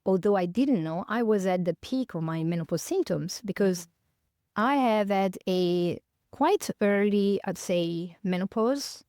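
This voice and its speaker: Italian woman in her fifties, smooth voice. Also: mid-range voice, animated, a little slow, warm tone, dry recording, studio quality. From northern Italy, near Milan. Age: 50s. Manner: thoughtful, many fillers and self-corrections. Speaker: podcast guest. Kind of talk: reflective conversational interview.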